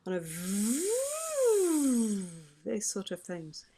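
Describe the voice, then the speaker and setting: A woman's voice: on the low side, slow, a loud crowd. A woman who is a vocal health expert, explaining singing advice in a chatty way during an online question session. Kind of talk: online forum Q&A.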